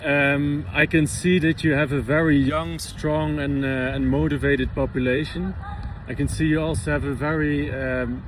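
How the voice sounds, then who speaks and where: A man's voice: a little high, flat delivery, normal speed. A man from the Netherlands, a visiting physiotherapist, answering interview questions in a hesitant way with many fillers and restarts. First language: Dutch. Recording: outdoor traffic noise behind.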